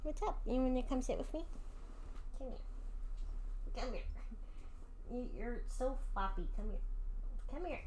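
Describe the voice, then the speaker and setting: A woman's voice: high-pitched, expressive, a little slow, pauses often, darkish tone, a little hiss or hum. A woman livestreamer giving casual reactions to a story game in an informal, playful, chatty way. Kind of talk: casual reaction commentary and chat.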